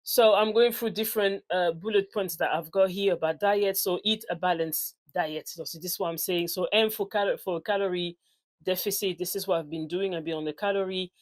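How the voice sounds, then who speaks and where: A woman's voice: fairly low pitch, fairly even intonation, brisk. A woman in her forties, raised in France, speaking English with a French accent mixed with African tones. She is a personal trainer talking casually to camera, chatty and unscripted, correcting herself as she goes. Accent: French with African influence. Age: 40s. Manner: chatty, loose and unscripted, with many fillers and self-corrections.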